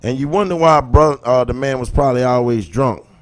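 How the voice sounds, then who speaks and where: A man's voice: medium pitch, brisk. Emphatic American man talking to livestream viewers. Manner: colloquial, emphatic, rhetorical questions. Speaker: livestream host. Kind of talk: impassioned casual commentary, talking to live viewers.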